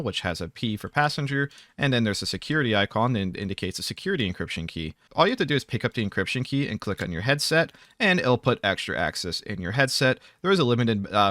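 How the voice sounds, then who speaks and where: A man's voice: lowish voice, sharp tone, no echo, clean studio sound. A man giving an informal, matter-of-fact tutorial narration for a video game, explaining things step by step in an instructional way.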